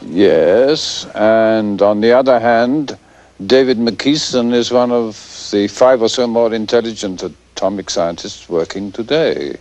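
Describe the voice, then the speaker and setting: A grave male scientist answers formally on television. He speaks low and very slowly, weighing his words with dry point. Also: a little mellow. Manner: formal, measured, tag questions. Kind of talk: televised expert interview acting.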